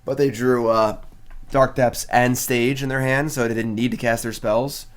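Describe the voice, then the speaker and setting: A young man with a mid-range voice talks through his card game on a live stream. His delivery is relaxed and conversational, with wry asides and frequent fillers. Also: medium pitch, moderate pace. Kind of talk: casual live-stream commentary.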